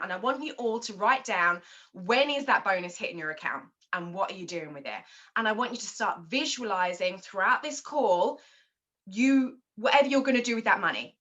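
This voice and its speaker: English woman, London-raised team leader, crisp bright voice, direct coaching. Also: mid-range voice, muffled recording. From London, England. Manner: direct, questioning. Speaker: marketing director leading a team call. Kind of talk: motivational training call.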